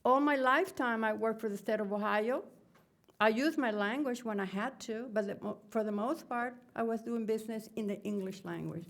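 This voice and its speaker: A woman's voice: a little high, moderate pace, vocal fry. Woman, caretaker, panel talk, direct, pleading.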